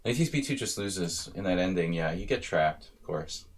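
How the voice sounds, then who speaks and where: Deep-voiced man in his thirties streaming chess. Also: animated, normal speed. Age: 30s. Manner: joking, thinking aloud about moves. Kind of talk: casual live-stream commentary while playing.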